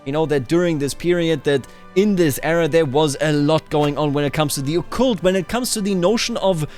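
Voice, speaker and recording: Higher-pitched man narrating over music. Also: brisk, darkish tone. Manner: musing, discursive, explanatory. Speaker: host of a video game playthrough series. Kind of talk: roleplay storytelling commentary on a strategy game.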